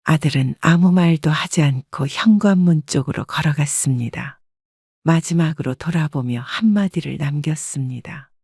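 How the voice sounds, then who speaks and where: A woman narrating a first-person family story in a steady, scripted storytelling voice. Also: deep voice, moderate pace, creaky, breathy edge, dry recording, clean studio sound. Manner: steady, even-paced storytelling.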